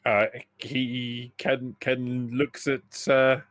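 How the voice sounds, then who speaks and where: A man improvising narration as game master of a tabletop game, halting and rephrasing himself as he goes. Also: mid-range voice, level tone, a bit bright, dry recording.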